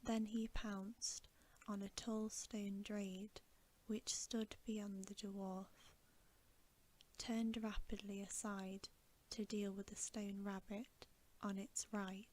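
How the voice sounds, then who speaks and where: Female ASMR reader for bedtime stories: hushed, gentle whisper, very slow pacing, even intonation. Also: medium pitch, a little hiss or hum. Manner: soft, gentle, hushed and unhurried.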